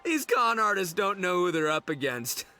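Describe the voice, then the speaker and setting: Brash young gang boss, bumbling, theatrical, bright forceful voice, very animated, video game. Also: male voice, sits high, moderate pace, soft background music, heavily acted. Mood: excitable, blustering. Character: brash, dim, big-hearted young male gang boss, hamming as policeman.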